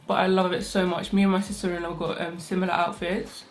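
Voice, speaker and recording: A young woman, doing a rushed get-ready-with-me makeup tutorial at home on her own mic. She talks fast and chatty, and her voice still sounds sleepy. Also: on the low side, flat delivery. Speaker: makeup vlogger. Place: home, indoors. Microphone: own microphone.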